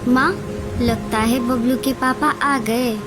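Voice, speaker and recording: A woman's voice: on the high side, fairly even intonation, slow pace, music in the background. A woman voicing an agreeable, caring young mother bird in a Hindi cartoon. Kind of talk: Hindi animated moral-story voice acting.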